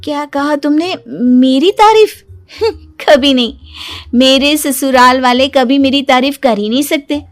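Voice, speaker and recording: High female voice, whiny young daughter-in-law played by a storyteller, boastful, self-pitying, theatrical complaining, audio story, music behind. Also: unhurried. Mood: indignant. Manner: dramatic wailing, self-praising complaints.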